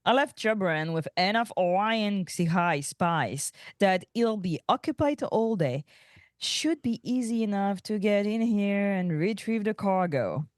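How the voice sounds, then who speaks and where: A brash alien pirate aunt, read by a woman, sardonic and unhurried. Her middle-pitched voice sits close and dry, as in a cartoon space script read at the table. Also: animated, a little mellow, dry recording, natural voice. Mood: confident. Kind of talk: amateur table read of animated sci-fi script.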